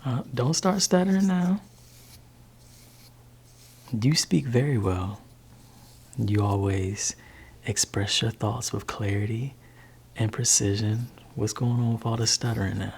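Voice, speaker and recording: Gravelly man playing a laid-back dad. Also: measured pace, long pauses. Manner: soft-spoken, shifting between low voice and whisper. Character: laid-back gentle father talking to his teenage child. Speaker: ASMR creator. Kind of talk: ASMR roleplay.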